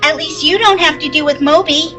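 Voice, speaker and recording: A woman's voice: high-pitched, brisk, pushed and bright, soft background music, pitched above the performer's own voice. A woman playing a fed-up, scornful young cartoon sleuth in a comic table read. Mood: disgusted.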